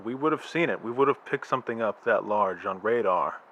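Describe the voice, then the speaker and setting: An actor playing a male astronomer who explains things in a measured, slightly hesitant way. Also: fairly low pitch, expressive, a bit bright, highs cut off. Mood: reassuring. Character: calm, reassuring male astronomer.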